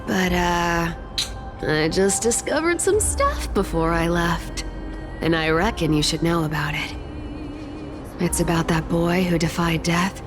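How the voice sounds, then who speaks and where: A woman's voice: fairly low pitch, expressive, unhurried, over music. A woman playing a sly, flippant young female trickster thief in a video game, with a playful, colloquial drawl. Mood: breezy, playful.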